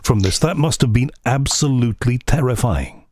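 A man hosting an interview, asking questions in a polite, measured and slightly hesitant way. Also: mid-range voice, animated, darkish tone, no room sound, clean studio sound. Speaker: interviewer, host.

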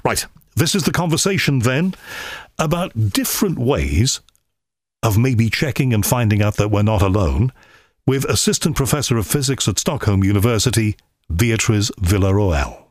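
A man hosting a podcast, chatty and enthusiastic, filling pauses with frequent uhs as he introduces his guest. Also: on the low side, animated, no echo, clean studio sound. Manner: chatty, enthusiastic, many ums. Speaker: podcast host. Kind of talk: scripted podcast introduction and interview. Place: cold room at home.

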